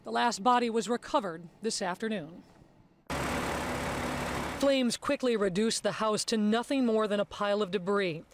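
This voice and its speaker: A woman's voice: medium pitch, normal speed, pauses often, background noise. American woman reporting live news. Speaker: TV field reporter. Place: outdoors at a rural scene.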